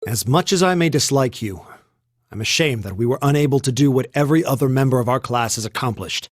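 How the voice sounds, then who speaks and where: An actor playing a proud, uptight young student in a video game, with a stiff, formal and emphatic delivery. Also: male voice, mid-range voice, animated, a little fast, no echo, natural voice. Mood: stern, determined. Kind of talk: English video game voice acting.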